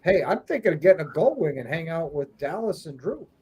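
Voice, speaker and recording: Wisecracking guy, husky and a bit high-pitched. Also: big pitch swings, brisk, a little mellow. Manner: joking, wisecracking. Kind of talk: casual chat.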